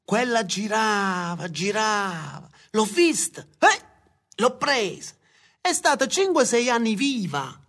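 Adult speaker, very lively intonation, short repeated phrases, casual confiding anecdote. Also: mid-range voice, slow pace.

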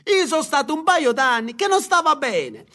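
An older southern Italian pensioner with a strikingly high voice. The talk is casual and tinged with dialect, defiant and boastful in manner. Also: sing-song, moderate pace, sharp tone. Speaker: pensioner in conversation.

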